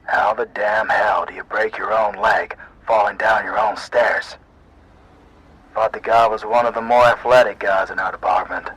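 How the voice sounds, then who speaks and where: A man playing a stern, stressed police commander giving urgent orders over a radio in an audio roleplay, with a rough, husky voice. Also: on the low side, steady tone, normal speed, fairly bright tone, low-quality recording. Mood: exasperated.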